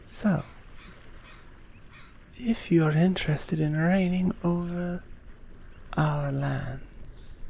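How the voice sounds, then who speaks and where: Breathy, slow-spoken Amazonian queen, acted by a woman. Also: lowish voice, exaggerated voice. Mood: sincere, persuasive. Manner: soft, breathy, measured, half-whispered delivery. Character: gentle, earnest Amazonian queen courting a guest. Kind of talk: roleplay ASMR, intimate second-person audio drama.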